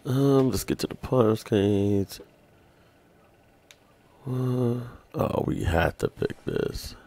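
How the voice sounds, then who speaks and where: A man's voice: medium pitch, expressive, drawn-out words, mellow. A tired man giving casual live commentary on a game, slangy and sweary, muttering to himself and his chat. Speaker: video game streamer.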